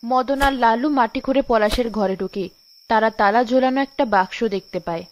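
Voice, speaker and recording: A woman's voice: fairly high pitch, fast talker, slight background noise. A woman giving scripted narration for a cartoon story. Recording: dull lo-fi audio with music behind.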